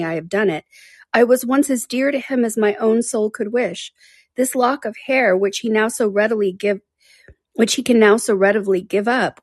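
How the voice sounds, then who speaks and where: A woman's voice: medium pitch, a little mellow, slightly rough, no room sound, voice pushed up, natural voice. A woman reading aloud and voicing a heartbroken, passionate young woman who pleads in anguish.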